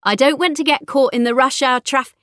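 A woman's voice: mid-range voice, sing-song, normal speed, fairly bright tone, no room sound, studio quality, pitched above the performer's own voice. A woman voicing a fretful middle-aged mother chivvying her teenage daughter, as dialogue in an audiobook. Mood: impatient.

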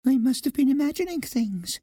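A man's voice: very high-pitched, expressive, a little fast, mellow, husky, no room sound, clean studio sound, pitched above the performer's own voice, heavily acted. A man voicing a prim, anxious little female mouse housewife in a storybook, nervous, pleading and indignant. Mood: puzzled, indignant.

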